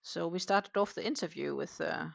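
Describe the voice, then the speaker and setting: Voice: medium pitch, normal speed, creaky, fairly bright tone, no echo, muffled recording. A crochet livestream host from Denmark with a Danish accent, chatting casually and answering viewer comments while working. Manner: thinks aloud, with many fillers and trailing sentences.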